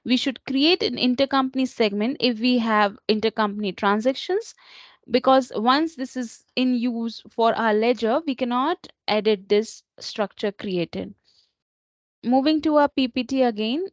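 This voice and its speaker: High-voiced woman giving a software lesson. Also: unhurried, no room sound. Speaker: software trainer. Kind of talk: instructional slide presentation. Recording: dull lo-fi close recording.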